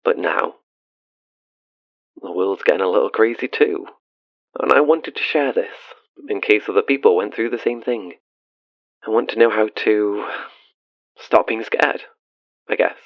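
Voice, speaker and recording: Very deep-voiced, haunted, hesitant caller. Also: male voice, measured pace, long pauses, no room sound, lo-fi sound. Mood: uneasy, confiding. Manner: hesitant, self-deprecating, earnest. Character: haunted, awkward, confessional British-born man phoning a radio show. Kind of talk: audio drama monologue as a radio call-in.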